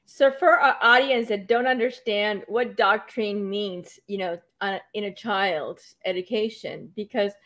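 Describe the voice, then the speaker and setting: A woman's voice: medium pitch, unhurried, few pauses, fairly bright tone. A woman hosting an online interview, hesitant and full of fillers and restarts. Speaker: host.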